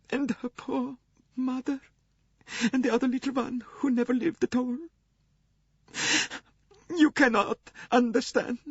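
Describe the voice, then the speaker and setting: A man voicing a weeping middle-aged Swedish nurse, high and tremulous with sobs. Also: breathy edge, dry recording, exaggerated voice. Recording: dull lo-fi recording.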